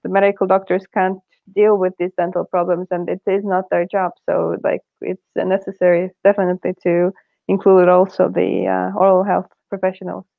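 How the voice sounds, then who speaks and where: A woman talks through her points, pausing on fillers and restarting sentences. Her voice arrives over a dry, tinny video-call line with a narrow, phone-like band. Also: fairly low pitch, level tone, gravelly, no echo. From Estonia. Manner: hesitant, many fillers and restarts. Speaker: panelist in an online meetup. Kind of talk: casual discussion.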